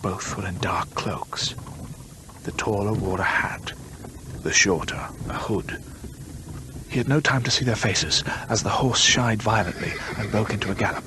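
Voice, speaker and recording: An actor playing a grave gentleman storyteller, narrating a radio drama in measured, literary phrases. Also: on the low side, a little hiss or hum. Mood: grave, pitying. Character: measured gentleman storyteller recounting another man's ghostly fate.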